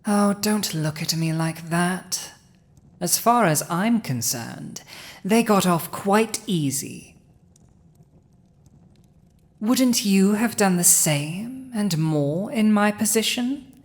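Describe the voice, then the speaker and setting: A woman's voice: medium pitch, lively intonation. A woman playing a haughty, cunning dragon ruler in human form, smug and commanding, in a roleplay audio drama spoken to the listener. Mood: indignant. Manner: smug, commanding, deliberate, with pauses.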